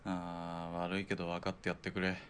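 A man playing a wry, casual ex-soldier turned hired killer in a radio drama, with rough, bantering speech. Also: deep voice, monotone, fairly quick, fairly bright tone, slight background noise. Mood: easygoing.

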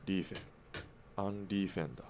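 A man's voice: low-pitched, fast talker, a little mellow, slight background noise, natural voice. A man acting in a radio drama as a stoic outlaw sniper who speaks in a terse, matter-of-fact way.

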